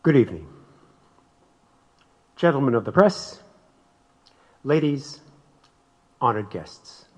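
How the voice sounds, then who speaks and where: Solemn governor in echoing hall. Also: male voice, mid-range voice, very animated. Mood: solemn, formal. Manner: formal, declamatory, deliberate. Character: solemn 19th-century male state governor making speech. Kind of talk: radio drama speech. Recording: dull recording in reverberant hall.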